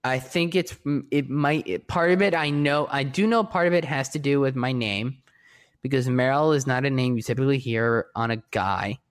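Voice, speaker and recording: High-voiced, shy, rambling man. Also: normal speed. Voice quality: distinctly high-pitched voice. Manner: rambling, lots of restarts and fillers, self-described shy. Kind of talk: casual conversation.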